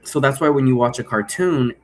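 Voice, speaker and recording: A man's voice: mid-range voice, brisk, a little mellow. A man giving an informal, improvised explainer in a casual, digressive way, often posing rhetorical questions. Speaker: role-play game creator and presenter.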